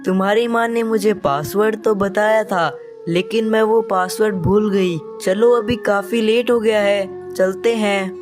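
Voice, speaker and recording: A woman's voice: medium pitch, steady tone, unhurried, music in the background, lightly acted. A woman playing a sly, coaxing female eagle in a children's cartoon moral story. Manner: coaxing, scheming.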